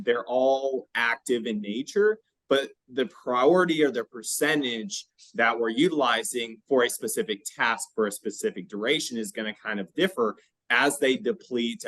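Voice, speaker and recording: A man hosting a podcast, explaining exercise science in a conversational, halting way with frequent check-in questions. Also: mid-range voice, fairly bright tone, no echo, low-quality recording.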